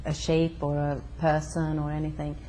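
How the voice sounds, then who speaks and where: Woman from Sweden, very low pitch, hesitant with fillers, faint background noise. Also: monotone, mellow. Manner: halting, hesitant, with many fillers. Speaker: interview guest. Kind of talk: conversational interview. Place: home.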